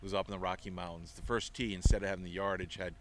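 A man's voice: deep voice, level tone, quick pace, noisy background. A middle-aged man, a guest on a golf podcast, telling rambling stories in casual interview talk. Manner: chatty, rambling storyteller. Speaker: guest, avid golfer.

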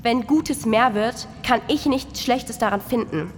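A woman plays a cynical, commanding boss in an audio drama. Her fairly high voice is brusque and full of scorn, ringing in a long hall reverb over faint noise. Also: a little slow, close to an everyday voice. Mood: outraged, scornful. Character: bossy, cynical female worker microbe boss inside a cow, foul-mouthed. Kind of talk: scripted radio play acting.

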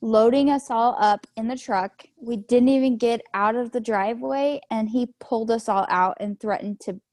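A woman's voice: on the high side, normal speed, a little mellow, dry recording, studio quality. Easygoing joking woman in her twenties. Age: 20s. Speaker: podcast co-host. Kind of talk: casual chat.

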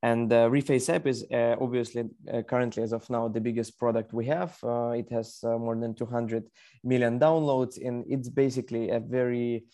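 A man's voice: medium pitch, little pitch movement, normal speed, warm tone. A young man from Ukraine with a Ukrainian accent, answering as an executive guest in a conversational podcast interview. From Kyiv, Ukraine.

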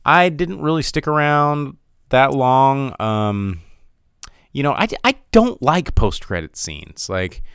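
A man's voice: mid-range voice, sing-song, slow pace, fairly bright tone, clear, resonant tone, no room sound, clean studio sound. A man hosting a podcast, giving a casual, rambling run-through of weekend box office numbers with many fillers and restarts. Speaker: podcast host.

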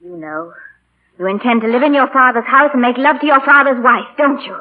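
A woman's voice: a little high, brisk, close to an everyday voice. An actress playing a passionate, strong-willed young woman with an intense, imploring manner in a 1940s radio drama. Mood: horrified. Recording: thin, muffled 1940 radio broadcast.

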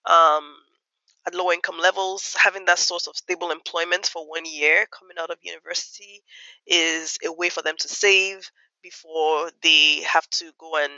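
A woman from Nigeria giving thoughtful interview answers as a guest, measured and reflective with fillers. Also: fairly low pitch, fairly even intonation, pushed and bright, no room sound, muffled recording. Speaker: guest economist.

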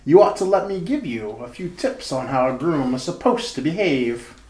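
Male actor as cheeky bellboy, officious, pestering, very animated delivery, retro radio comedy, echoey room. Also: on the high side, normal speed. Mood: huffy. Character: nosy, cheeky know-it-all hotel bellboy. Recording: roomy, echoing amateur radio-play recording.

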